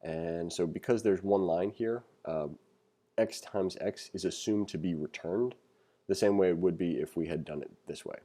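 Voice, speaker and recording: A man's voice: low voice, normal speed, warm tone. A man giving a casual, conversational programming tutorial as instructor, reading along and adding opinionated, self-deprecating asides.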